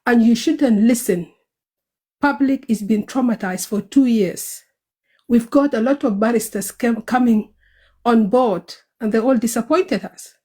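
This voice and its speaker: A woman's voice: on the high side, darkish tone, slightly rough. A woman with a South Sudanese accent speaking earnestly and a bit hurriedly in an online group audio chat.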